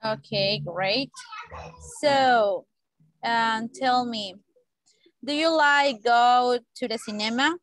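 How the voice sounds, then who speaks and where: A woman's voice: fairly high pitch, takes it slowly, pauses often, fairly bright tone, no room sound. A woman interviewer asking short structured questions and acknowledging each answer briefly. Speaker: interviewer, teacher.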